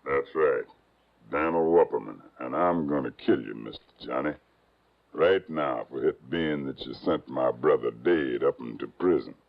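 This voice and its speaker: Huge, very deep-voiced swamp killer. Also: male voice. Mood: vengeful. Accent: rural Southern US. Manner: slow, ungrammatical backwoods talk. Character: huge menacing backwoods swamp killer, slow and simple. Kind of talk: 1950s radio drama acting. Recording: muffled old radio recording, faint music.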